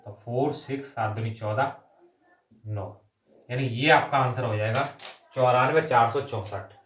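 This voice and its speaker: A man's voice: mid-range voice, measured pace, a bit bright, slight background noise. A man teaching an exam-coaching maths lesson, working through sums step by step, mixing in English terms and often adding short check-in phrases. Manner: mixes English number words and terms into the talk. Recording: roomy echo, distant microphone.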